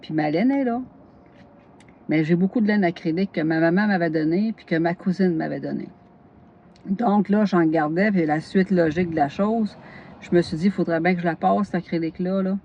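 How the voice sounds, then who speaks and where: A woman's voice: on the low side, brisk, lo-fi sound. A woman from northern Gaspésie with a Québécois accent, a knitting vlogger who chats casually, laughs a lot and jumps between topics while tired. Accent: Québécois French. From northern Gaspésie, Quebec. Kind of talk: casual chat.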